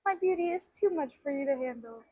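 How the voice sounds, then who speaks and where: A woman's voice: sits high, expressive, quick pace, darkish tone. A woman whose first language is Albanian, chatting casually on a fitness live stream from a gym and laughing often as she reads comments. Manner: laughs often, reads chat aloud between sets. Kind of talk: casual live-stream chat while working out.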